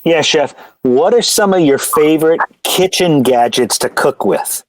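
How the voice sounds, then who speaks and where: A man's voice: a little high, expressive, unhurried, a little hiss or hum. A man acting as interviewer, reading out questions to a chef. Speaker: off-camera interviewer.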